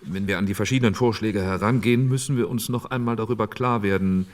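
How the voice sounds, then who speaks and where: A very deep-voiced actor as a committee chairman from post-war politics. He speaks formally and deliberately, arguing his case as in a radio-play debate. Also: close to an everyday voice. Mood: formal, businesslike. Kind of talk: radio drama re-enactment of a parliamentary debate.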